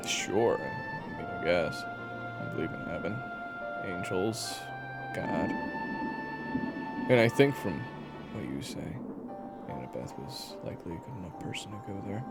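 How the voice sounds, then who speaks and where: A man playing a gruff, sarcastic and fastidious monster hunter in an audio roleplay, dry, scolding and blunt. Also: low voice, animated, over loud music, pitched below the performer's own voice, exaggerated voice. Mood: blunt, subdued.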